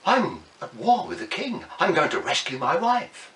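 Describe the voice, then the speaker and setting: A man voicing a small, brave male blackbird who speaks high and insistently. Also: very animated, moderate pace, fairly bright tone. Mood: earnest. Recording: echoey room, mic at a distance.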